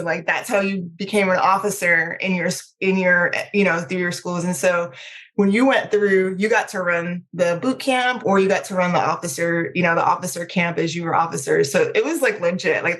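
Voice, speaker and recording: A woman's voice: on the low side, fairly bright tone. A middle-aged woman, talking informally as an interview guest, peppering her speech with conversational filler phrases. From Trinidad, then Maryland, United States. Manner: informal, frequent "like" and "you know". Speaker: podcast guest.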